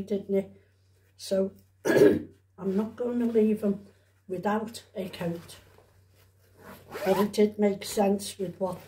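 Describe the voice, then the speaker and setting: A woman talks in an unhurried, mellow voice. She rambles chattily as if to a camera at home, circling back over her words and adding tag questions. The room gives her voice a noticeable echo. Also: mid-range voice, a little hiss or hum. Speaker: vlogger showing shopping haul. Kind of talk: casual rambling chat to camera. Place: indoors at home. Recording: roomy, echoey home recording.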